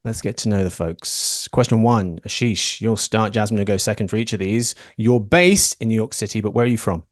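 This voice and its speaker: A man's voice: lowish voice, a little fast, no room sound, clean studio sound. A man hosting a podcast in casual chat, teasing his guests with playful banter. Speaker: podcast host.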